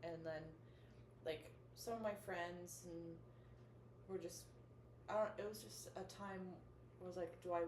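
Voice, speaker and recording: A young woman as a podcast guest, giggly and relaxed, talking in halting phrases. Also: lowish voice. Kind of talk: casual chat. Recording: echoey room with faint noise.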